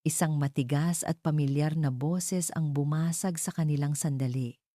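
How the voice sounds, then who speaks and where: A woman's voice: low voice, steady tone, normal speed, mellow, dry recording, studio quality. A woman narrating a scripted romantic drama in a storytelling style.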